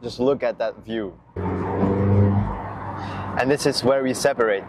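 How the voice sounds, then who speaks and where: A man's voice: medium pitch, a little slow. A man, chatting casually as a car vlogger while driving. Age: 20s. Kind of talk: casual vlog chat. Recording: clear noise, car and road sound.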